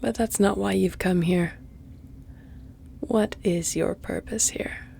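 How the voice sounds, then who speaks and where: Woman playing a slow, teasing female vampire. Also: medium pitch, fairly even intonation, mellow, slight background noise. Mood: seductive, menacing. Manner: slow, teasing, haughty, often dropping to a breathy whisper. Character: flirtatious predatory female vampire, lady of an estate. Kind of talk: intimate audio roleplay.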